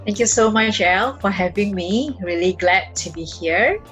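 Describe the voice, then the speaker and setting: A woman from Indonesia with an Indonesian accent, sharing personal stories as a podcast guest in a halting, casual way. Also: medium pitch, fairly bright tone, soft background music. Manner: halting, with frequent repeated words. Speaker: podcast guest, mindfulness practitioner.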